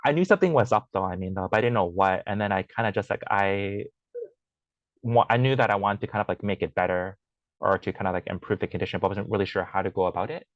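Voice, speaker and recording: A man's voice: fairly low pitch, quick pace, no room sound, highs cut off. A man in a casual interview, talking hesitantly with lots of fillers and restarts. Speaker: podcast guest.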